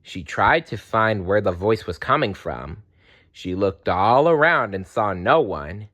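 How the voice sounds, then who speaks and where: A man's voice: on the low side, lively intonation, a little slow, a bit bright. A man reading a children's storybook aloud expressively. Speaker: storybook reader.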